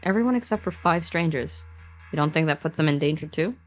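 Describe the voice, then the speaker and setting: A woman's voice: low voice, animated, fairly quick, faint music behind. A woman co-narrating a scripted story with casual, wry, conversational asides.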